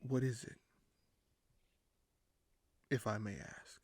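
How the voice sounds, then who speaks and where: An actor playing a scheming, courteous young king in an audio roleplay, speaking formally and hesitantly with deliberate pauses. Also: lowish voice, fairly even intonation, no echo. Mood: courteous, musing. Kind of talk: audio roleplay acting, addressed to the listener.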